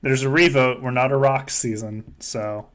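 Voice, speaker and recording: A man's voice: medium pitch, steady tone, moderate pace. Jokey, sweary man chatting casually. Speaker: podcast host running a fan survivor game. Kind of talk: casual joking chat.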